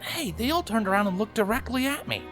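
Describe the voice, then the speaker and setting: A man's voice: sits high, very animated, fast talker, a bit bright, soft background music, pitched above the performer's own voice. A man voicing a wisecracking, grumbling pony sergeant in a story, sarcastic, whiny and emphatic. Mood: exasperated, defensive.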